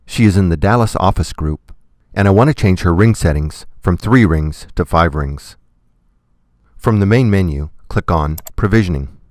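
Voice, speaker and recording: A man giving scripted, step-by-step software tutorial narration in a methodical, instructional manner. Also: sits low, animated, normal speed, dry recording, clean studio sound.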